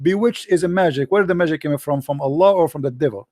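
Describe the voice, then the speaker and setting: A man's voice: on the high side, rapid-fire, a little mellow, no echo, clean studio sound. A man hosting a heated debate, mocking his opponent and barking repeated commands.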